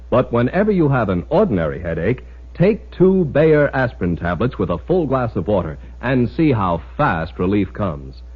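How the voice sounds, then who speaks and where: An American man delivering an old-time radio commercial as announcer, emphatic, deliberate and persuasive. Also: medium pitch, husky. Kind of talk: mid-century radio advertising pitch. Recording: muffled, dull old radio recording.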